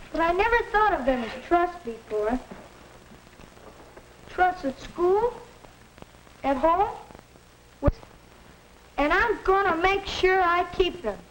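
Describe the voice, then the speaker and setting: Earnest schoolboy, high voice, plain-spoken, 1950s educational film, hissy soundtrack. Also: male voice, a bit bright, heavily acted, poor audio. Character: earnest schoolboy son learning to be trustworthy.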